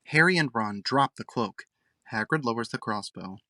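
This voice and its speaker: A man's voice: mid-range voice, lively intonation, moderate pace, bright, forceful tone, no echo, clean studio sound. A man reading scripted narration as the narrator of an audio drama.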